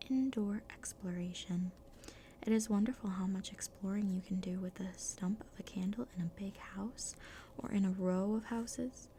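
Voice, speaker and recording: A woman reading a children's storybook aloud in a soft, hushed whisper. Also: medium pitch, creaky, slight background noise.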